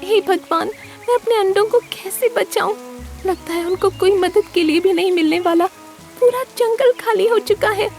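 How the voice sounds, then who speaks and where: A woman's voice: extremely high pitch, normal speed, darkish tone, soft background music, pitched above the performer's own voice. A woman voicing an earnest, worried mother bird in a cartoon story, pleading and exclaiming. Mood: distressed. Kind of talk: Hindi cartoon story character acting.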